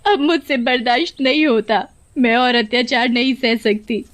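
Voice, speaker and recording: A meek young cartoon wife, played by a woman narrator. Her voice is high and plaintive, pleading and close to tears. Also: moderate pace, natural voice. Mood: despairing. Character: meek, mistreated young daughter-in-law, tearful.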